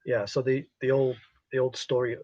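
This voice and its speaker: A man's voice: mid-range voice, level tone, moderate pace, a little mellow, no room sound, muffled recording. A man explaining things casually as a panelist on a talk show.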